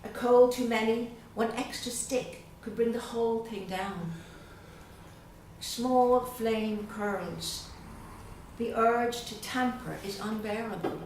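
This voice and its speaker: A woman's voice: fairly high pitch, darkish tone, far from the mic, a little hiss or hum. Irish woman reading poems in an echoey room. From Dublin, Ireland. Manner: slow, measured reading with pauses; chatty and hesitant between poems. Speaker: guest poet. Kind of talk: poetry reading at a literary evening. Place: event room at a literary gathering.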